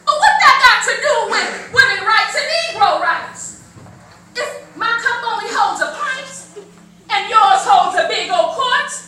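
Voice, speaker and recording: A bold, proud older freedwoman delivering a theatrical live monologue. The voice is very high and slow, declaiming with folksy, drawn-out emphasis. It rings through a very echoey hall, far from the microphone. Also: expressive, sharp tone, slight background noise, heavily acted. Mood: challenging, emphatic. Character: older formerly enslaved woman orator, bold and proud. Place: live performance in a church hall.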